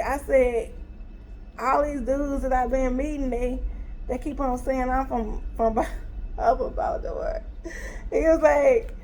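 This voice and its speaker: Chatty woman with a high, gravelly voice. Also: slight background noise, rough recording. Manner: animated retelling, acts out both sides with quoted dialogue; talkative, laughing, exasperated. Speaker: storyteller. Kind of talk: casual storytime vlog.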